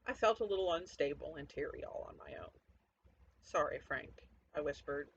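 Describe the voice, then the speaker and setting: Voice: mid-range voice, expressive, normal speed, gravelly. Narrator with dull, lo-fi sound. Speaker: narrator reading a story. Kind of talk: first-person fiction narration. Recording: dull lo-fi sound, background noise.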